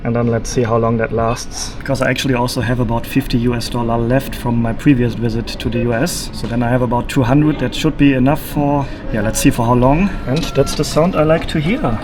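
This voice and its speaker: A man's voice: medium pitch, fairly even intonation, fairly quick, hardly any pauses. German man vlogging on the go, chatty. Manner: chatty, thinking aloud with fillers. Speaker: travel vlogger. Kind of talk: casual travel vlog narration. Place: airport, then outdoors. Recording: noisy background.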